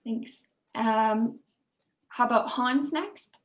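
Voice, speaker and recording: A woman's voice: on the high side, extremely slow, a little hiss or hum, poor audio. A woman moderating a webinar panel over a video call, thanking speakers politely. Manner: polite, welcoming.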